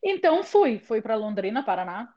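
A young English woman, a native English speaker, chatting in an interview as a lively storyteller. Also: fairly high pitch, normal speed, muffled recording. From England. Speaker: interview guest. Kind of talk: casual conversation.

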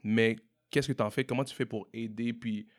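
A young man from Montreal with a Québécois French accent, answering interview questions in a relaxed way, often repeating and restarting words. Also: medium pitch, normal speed. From Montreal, Quebec. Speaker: entrepreneur guest. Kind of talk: casual interview conversation.

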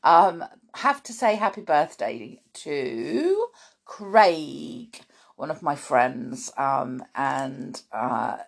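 Woman, croaky, gravelly, slow-paced, lively pitch, chatty with children. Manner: warm chatty aside-filled talk with many ums and little laughs. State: croaky morning voice. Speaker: children's podcast host and storyteller. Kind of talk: chatty spoken intro to children's story podcast.

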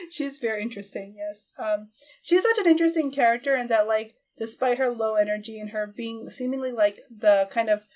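A woman's voice: on the high side, lively intonation, moderate pace, darkish tone. A woman on a podcast giving a loose, rambling recap in casual chat, with little laughs between phrases.

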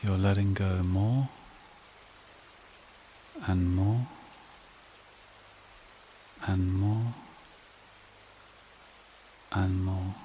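A man leading a guided meditation and hypnosis in a hushed, soothing, repetitive way with long pauses. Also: deep voice, steady tone, a little hiss or hum.

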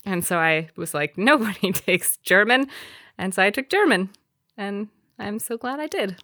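A woman's voice: mid-range voice, expressive, normal speed, pushed and bright, dry recording, clean studio sound. A woman, co-hosting a casual podcast and explaining things in fluent, easy sentences. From Wisconsin, USA. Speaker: podcast co-host. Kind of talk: casual chat.

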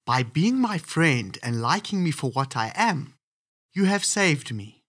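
A man voicing an enchanted frog prince in a storybook, earnest and pleading, with a husky voice. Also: a little high, sing-song, a little slow, fairly bright tone, no room sound, studio quality, voice pushed up, heavily acted. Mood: grateful.

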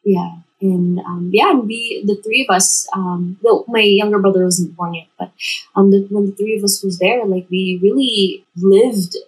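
A young woman from the Philippines by way of Dubai, a podcast guest chatting casually and haltingly, with many restarts. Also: medium pitch, breathy edge, rough recording. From Dubai-born Filipino. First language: Tagalog. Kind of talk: casual interview chat.

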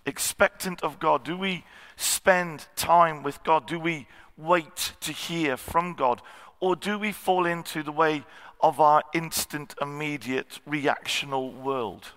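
A man, a guest preacher with a slightly husky voice, giving a sermon with many ums and cleared throats. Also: a little high, slow pace, a bit bright. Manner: self-deprecating, frequent ums and throat clearing. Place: church hall. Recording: long church hall reverb.

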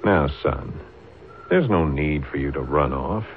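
Deep-voiced, fatherly Mister Jefferson. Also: expressive, heavily acted. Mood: earnest, fatherly. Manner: earnest, paternal, measured, formal phrasing. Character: Mister Jefferson, genial, fatherly older gentleman of standing, peacemaker. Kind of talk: old-time radio drama acting. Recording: muffled, noisy old radio drama recording.

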